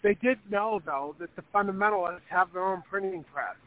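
Guy calling in, rambly chatty talk, kinda high voice, thin phone line w a little hiss. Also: fairly quick. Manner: rambling and animated, with many "you know" and "like" fillers. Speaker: caller to a religious call-in program. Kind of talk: casual call-in conversation. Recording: phone line with faint background noise.

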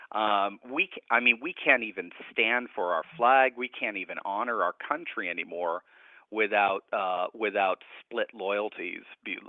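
Heard over a thin, phone-like line with no room echo, a man talks as a scholarly radio guest. He explains his ideas conversationally, in a reflective and academic manner. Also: lowish voice. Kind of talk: conversational analysis in a radio interview. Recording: narrow, tinny phone-like line.

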